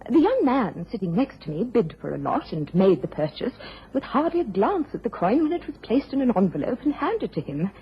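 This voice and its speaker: An earnest, courteous lady journalist in an old radio play. Her voice is fairly high and swings expressively through formal sentences. The sound is dull, like an old broadcast. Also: sing-song, a little fast, a little hiss or hum. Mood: earnest recounting. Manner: well-mannered, formal, earnest. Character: polite, composed young lady journalist under suspicion. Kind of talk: British period radio drama acting and narration. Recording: muffled old radio recording.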